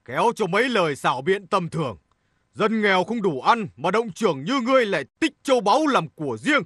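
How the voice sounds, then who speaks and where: A man's voice: high voice, very animated, fairly quick, fairly bright tone, slightly rough, no room sound, clean studio sound, exaggerated voice. A man playing a stern, just king in a historical cartoon, speaking in a formal, commanding way.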